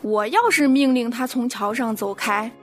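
A woman's voice: a little high, moderate pace, faint music behind, natural voice. Woman voicing a bossy, blustering storybook lion. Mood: commanding, indignant. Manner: indignant, commanding, roaring. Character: bossy, blustering male lion, king of the forest.

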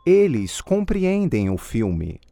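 A man reading model answers in a language course drill, slow and clearly enunciated. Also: medium pitch, wildly swooping pitch, mellow.

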